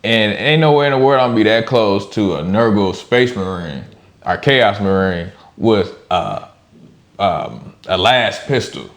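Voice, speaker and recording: Deep-voiced man chatting casually. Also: animated, a little slow. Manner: informal and slangy, chuckles while making his points. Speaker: reaction show co-host. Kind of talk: casual chat, off-the-cuff commentary.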